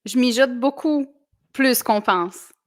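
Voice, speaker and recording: A woman in her forties, born in southwestern France, speaks as a podcast guest with an accent mixed with Québécois traces. She talks in a chatty, laughing way with a fairly high voice. The studio sound is close and dry. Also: slow, no room sound. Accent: French with Québécois traces. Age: 40s. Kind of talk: casual interview chat. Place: small studio.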